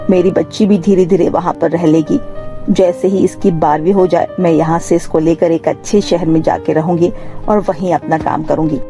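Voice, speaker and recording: A woman's voice: fairly low pitch, steady tone, normal speed, mellow, soft background music, lightly acted. A woman playing a poor, hardworking, reassuring mother in a cartoon moral story. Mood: pleading, hopeful.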